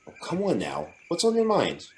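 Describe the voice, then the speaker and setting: A man's voice: a little high, huge pitch swings, moderate pace. A man playing an adoring, playful young fiancé in an old-time radio drama, sweet-talking and coaxing. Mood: curious, earnest.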